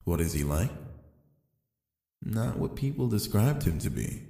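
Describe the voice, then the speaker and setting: Long, echoing reverb surrounds a deep male voice. It is a tempting fallen angel played by a man in an ASMR roleplay, calm and sly. He speaks slowly and intimately, as if to one listener. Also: gravelly, heavily acted. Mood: calm, admiring. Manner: slow, deliberate, addressing the listener intimately.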